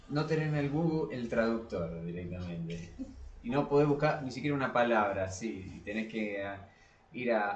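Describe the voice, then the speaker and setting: A man's voice: on the low side, muffled recording, slight background noise. A man with an Argentine Spanish accent co-hosting a casual literary podcast, hesitating and rephrasing as he goes. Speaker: podcast co-host. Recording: roomy echo, distant from the mic.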